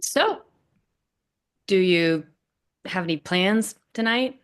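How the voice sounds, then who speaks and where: A woman's voice: medium pitch, lively intonation, slow pace. A woman reading the part of an awkward, hesitant young man in a sitcom table read.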